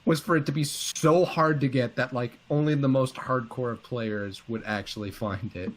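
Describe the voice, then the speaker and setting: A man's voice: mid-range voice, fairly even intonation, moderate pace. A man co-hosting a casual discussion of video games, informal and opinionated with a joking streak. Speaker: co-host of a video game series.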